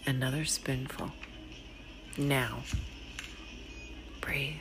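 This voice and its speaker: A woman with a low, gravelly voice reads a short story over clear background music. She is very unhurried and deliberate, with a hushed, dramatic delivery that often slips toward a whisper. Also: fairly even intonation. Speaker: author reading own short story. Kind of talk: slow, dramatic literary storytelling. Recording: music behind the voice.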